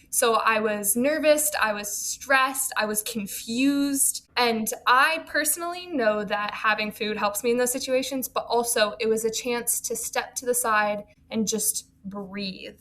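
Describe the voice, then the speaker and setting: A woman's voice: fairly high pitch, a bit bright. A young woman, a college student, speaking in an interview in a composed, earnest way, with full sentences. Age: young adult. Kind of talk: reflective conversation.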